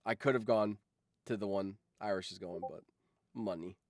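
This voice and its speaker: A man's voice: lowish voice, moderate pace, no room sound, studio quality. A man hosting a livestream in casual chat, rambling and swearing freely as he talks. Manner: rambling, profane. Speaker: livestream host.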